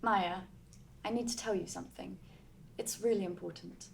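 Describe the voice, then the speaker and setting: Woman voicing smug teen witch, haughty, condescending, mid pitch. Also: fairly even intonation, moderate pace, creaky, slight background noise. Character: smug, competitive teen witch girl, Celeste.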